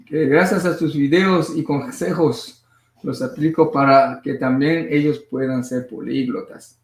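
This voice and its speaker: A man hosting a casual family livestream from home, moving easily between languages with a relaxed, filler-heavy way of talking. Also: a little high, normal speed. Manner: switches between languages mid-sentence. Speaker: livestream host and father. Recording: echoey room sound.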